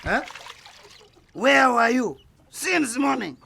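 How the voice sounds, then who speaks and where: An actor in a radio drama playing an angry, boastful, blustering village husband who stammers when worked up. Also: male voice, very high-pitched, big pitch swings, takes it slowly, gravelly, sharp tone, slight background noise, heavily acted. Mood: furious, threatening.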